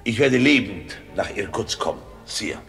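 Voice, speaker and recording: A resolute military courier in a radio play. He speaks low and tersely, with dutiful formality, over faint music. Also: animated, measured pace, vocal fry, fairly bright tone, exaggerated voice. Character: resolute, dutiful male Russian army courier officer. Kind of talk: German radio play acting.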